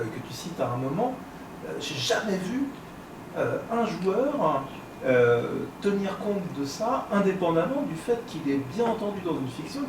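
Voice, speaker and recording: Guy with a slightly high voice picking apart ideas, halting but academic, distant mic, noisy. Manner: hesitant phrasing, articulate, academic vocabulary. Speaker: discussion participant, longtime game observer. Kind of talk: critical, analytical discussion. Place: convention room. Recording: echoey room, distant mic, background noise.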